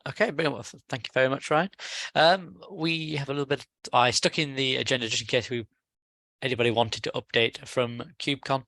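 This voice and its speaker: Male chair, bright forceful tone, casual facilitation, close dry recording. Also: mid-range voice, fairly quick, no room sound. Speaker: meeting chair. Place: online video call.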